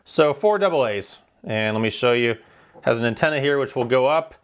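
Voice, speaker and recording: A man reviews a product in a casual, hands-on style. He speaks in an informal, plain-spoken way, explaining features step by step at a middling pitch. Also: brisk, clear, resonant tone.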